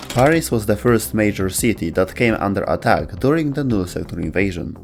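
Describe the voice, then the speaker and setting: A man's voice: fairly low pitch, animated, a little fast, vocal fry, faint music behind. A man reading scripted narration for a video about video game lore.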